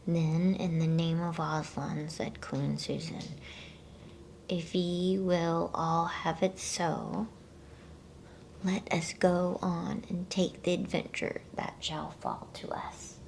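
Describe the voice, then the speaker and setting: Female ASMR storybook reader: hushed breathy whisper, very slow delivery, crackly fry, intimate close mic. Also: on the low side. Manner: hushed, unhurried, with playful asides. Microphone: close microphone.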